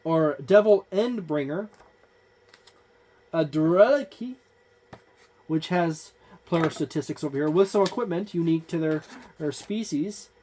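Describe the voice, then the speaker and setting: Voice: medium pitch, unhurried, pauses often, dark tone. A tabletop gaming channel host talking through a book page by page in a loose, rambling way with many fillers. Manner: points things out on the page. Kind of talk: casual book walkthrough.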